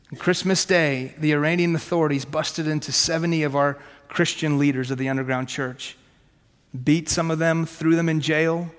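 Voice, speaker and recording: A man in his thirties whose first language is Farsi, preaching a sermon from his own story in a punchy, conversational way, with quick rhetorical questions and self-mocking jokes. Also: on the high side. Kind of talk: sermon with personal testimony. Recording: long hall reverb.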